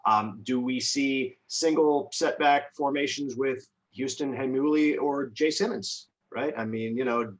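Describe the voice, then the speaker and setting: A man's voice: mid-range voice, unhurried, hardly any pauses, rough recording. An American man who works as a radio sports announcer, giving conversational analysis in an emphatic, discursive way, thinking aloud as he goes.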